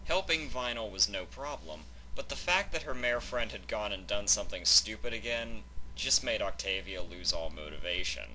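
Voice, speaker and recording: Very deep-voiced male story narrator. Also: expressive, normal speed, bright, forceful tone, slight background noise, rough recording. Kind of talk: scripted story narration of fan fiction.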